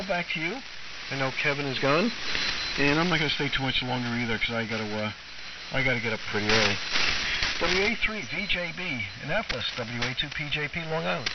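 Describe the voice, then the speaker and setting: American man, middle-aged or older, casual ham radio chat, halting and repetitive, muffled AM signal, loud static. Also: medium pitch. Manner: halting, reminiscing, repeats himself. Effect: AM shortwave radio. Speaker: amateur radio operator. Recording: muffled, very noisy AM shortwave radio, distant. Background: loud static and radio noise.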